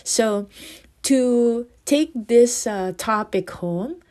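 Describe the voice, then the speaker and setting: A woman's voice: fairly high pitch, extremely slow, darkish tone. A middle-aged woman from the Philippines with a Filipino accent, hosting a podcast and explaining ideas in a friendly teaching tone. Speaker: podcast host and psychotherapist. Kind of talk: casual podcast talk.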